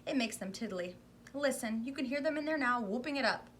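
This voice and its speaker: A giddy, eccentric candy-factory owner who shouts every line with delight , as a woman reading aloud voices him. The voice sits high and bright. Every line comes out loud and excited. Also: fairly quick, a little hiss or hum. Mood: gleeful.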